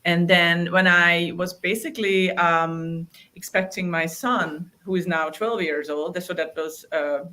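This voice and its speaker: A woman in her thirties from Hungary whose first language is Hungarian, a guest telling her story in a halting way with many fillers. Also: on the low side, fairly even intonation. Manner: warm, halting, many "you know" fillers. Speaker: guest, collage artist. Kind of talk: personal storytelling in an interview.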